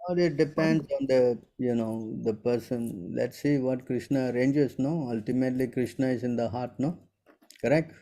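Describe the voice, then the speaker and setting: A man's voice: medium pitch, normal speed, dark tone. A man in his sixties answering devotional questions in an informal online session, often checking whether listeners understand. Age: 60s. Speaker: spiritual teacher answering questions.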